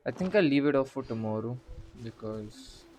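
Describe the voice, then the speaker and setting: A man streaming a video game, murmuring casual commentary as he haltingly thinks aloud. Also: medium pitch, lively intonation, darkish tone. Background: faint game music.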